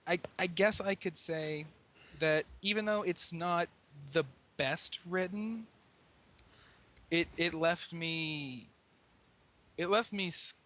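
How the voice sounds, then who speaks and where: A man's voice: fairly high pitch, takes it slowly, pauses often, sharp tone. A man on a podcast panel chatting in an earnest, wordy, reflective way. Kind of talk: casual chat. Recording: noisy hiss behind voice.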